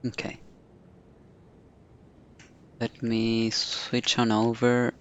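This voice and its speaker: A man's voice: medium pitch, monotone, very slow, clear, resonant tone, lo-fi sound. A man streaming a game, chatting casually and half muttering to himself. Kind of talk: casual live-stream chat.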